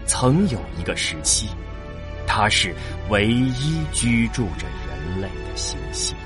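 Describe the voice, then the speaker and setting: A man's voice: on the low side, sing-song, a little slow. A man narrating a science fiction audio drama as a scripted, dramatic story. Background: prominent background music.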